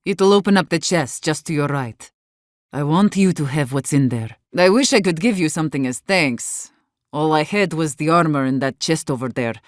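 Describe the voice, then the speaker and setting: A woman's voice: low voice, animated, normal speed, no echo, clean studio sound. An actress voicing an embittered, defiant woman warden leader in a video game, weary but fierce, speaking in a blunt, earnest way. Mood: guarded, earnest. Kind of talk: dramatic game dialogue.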